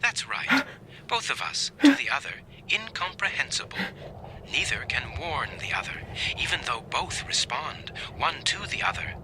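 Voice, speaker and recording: A young man's recorded self in a radio drama, cruel and coolly mocking. The delivery is theatrical, with big swings of pitch, on a clearly noisy, hissy recording. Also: big pitch swings, moderate pace. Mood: cool, detached. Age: 20s. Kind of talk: old-time radio drama acting.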